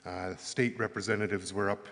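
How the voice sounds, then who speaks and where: A Canadian man giving earnest closing remarks at an arts summit, halting and thoughtful, with many fillers. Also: on the low side, steady tone, a bit bright. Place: large conference hall. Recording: echoey hall with long reverb.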